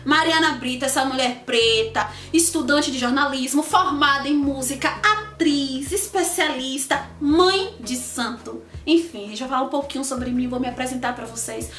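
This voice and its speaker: A woman's voice: high-pitched, moderate pace, hardly any pauses, reverberant. A woman, chatting to viewers about her life as a channel host. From Salvador, Bahia, Brazil. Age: 20s. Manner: chatty, animated, addresses viewers directly. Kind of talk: casual personal storytelling to camera.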